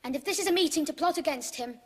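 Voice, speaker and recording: A woman's voice: sits high, quick pace, lightly acted. A woman playing a loyal young boy adventurer in an audio drama, speaking earnestly and declaratively. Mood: resolute. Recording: long hall-like reverb.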